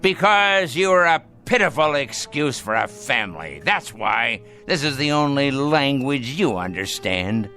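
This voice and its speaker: Slow, sardonic dying old patriarch. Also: male voice, medium pitch, sing-song, pushed and bright, soft background music, full character voice. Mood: scornful. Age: older. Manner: theatrical, scornful, deliberate speech. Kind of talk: dramatic radio play acting.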